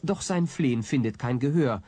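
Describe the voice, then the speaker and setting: A man's voice: mid-range voice, animated, moderate pace, warm tone, a little hiss or hum, lightly acted. A man narrating a scripted comedy radio play as its storyteller.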